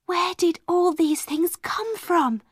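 A woman voicing a gentle, imaginative young girl in a story, earnest and full of wonder. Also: high-pitched, slow pace, dry recording, studio quality, pitched above the performer's own voice. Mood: amazed.

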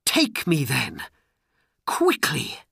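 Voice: medium pitch, huge pitch swings, vocal fry, pushed and bright, slightly rough, no echo, studio quality, pitched above the performer's own voice, exaggerated voice. A narrator voicing an earnest, lovesick young male hero, pleading and desperate, in a storybook. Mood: urgent pleading. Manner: pleading, drawn-out.

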